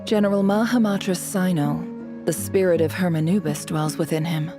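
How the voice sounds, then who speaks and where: A woman's voice: on the low side, fairly even intonation, moderate pace, close to an everyday voice. A woman voicing a composed, proud, secretive desert-born crime boss in a video game, knowing and dryly teasing. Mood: cool, knowing. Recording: music playing behind the voice.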